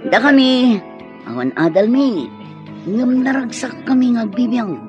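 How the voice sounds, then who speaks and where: A slow, teasing young troublemaker who chuckles as he talks, as a man acts him out. Loud music plays under the dull radio-play audio. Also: very high-pitched, expressive. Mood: persuasive. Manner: teasing, laughing. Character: carefree young man tempting friend to idle life. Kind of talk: radio drama acting.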